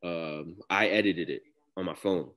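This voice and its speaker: Young man with a deep baritone voice. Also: a little slow, fairly bright tone. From Connecticut, US. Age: young adult. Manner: loose, slangy, heavy use of "like" fillers. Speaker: guest rapper. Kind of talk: casual interview chat.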